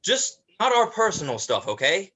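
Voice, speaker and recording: A man playing a stressed, parental eldest brother, exasperated and halting, in a play reading. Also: sits high, sing-song, sharp tone, slightly rough, full character voice. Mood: frustrated. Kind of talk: dramatized play script reading.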